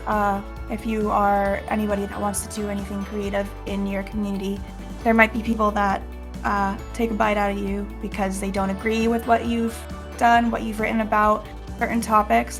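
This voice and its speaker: A woman streamer on a panel, thoughtful, with hesitant fillers. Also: medium pitch. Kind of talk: casual panel talk.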